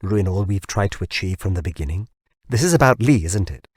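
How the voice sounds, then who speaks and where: A man's voice: low-pitched, lively intonation, brisk, husky, dry recording, studio quality. Man acting an agitated, pressing company boss. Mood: pleading, urgent. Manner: urgent, pressing, staccato. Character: agitated, wheedling male company founder.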